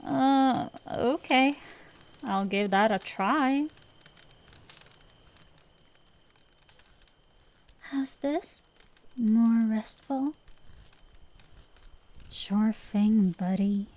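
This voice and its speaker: A woman plays a tsundere dragon girl sorceress in an intimate fantasy audio roleplay. Her voice sits a little high and she takes her time over her words. She blusters and teases like a tomboy gambler, easily flustered, with fondness underneath. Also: extremely slow, pauses often, darkish tone. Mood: softening, awkward.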